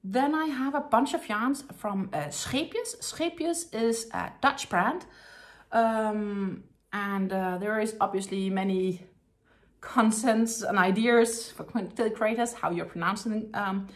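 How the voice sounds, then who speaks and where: A woman's voice: medium pitch, slow pace. Chatty Danish-accented woman with frequent ums. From Denmark. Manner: chatty, many ums and likes, drops to a soft murmur while handling yarn. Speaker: crochet vlogger. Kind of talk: casual unboxing show-and-tell.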